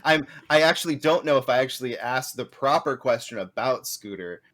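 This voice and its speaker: A man hosting a casual chat, upbeat and quick to laugh. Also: medium pitch, animated, a bit bright. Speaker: host.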